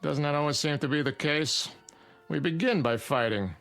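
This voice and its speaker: A middle-aged studio chief, blunt and dry, speaking in terse, unimpressed phrases. It is vintage television drama with faint music behind him. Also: male voice, on the high side, moderate pace, a bit bright. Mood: reasonable. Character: blunt, dry older male studio boss. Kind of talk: mid-century television drama acting.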